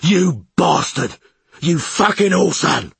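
A man voicing a callous, commanding leader of mutinous sailors in an audiobook, forceful and ordering. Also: medium pitch, normal speed, slightly rough, no room sound. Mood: furious. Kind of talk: audiobook character acting.

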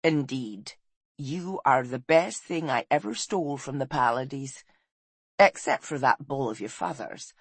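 A woman's voice: very deep voice, lively intonation, measured pace, breathy edge, no echo, full character voice. A woman voicing a roguish, teasing clansman husband with a wry, cajoling manner, in an audiobook.

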